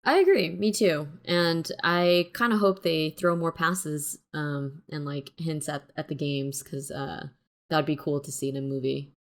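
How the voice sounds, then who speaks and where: A woman's voice: sits low, fairly even intonation, a little slow. A woman co-hosting a casual chat, speaking informally and loosely. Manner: informal, peppered with "like". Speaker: co-host.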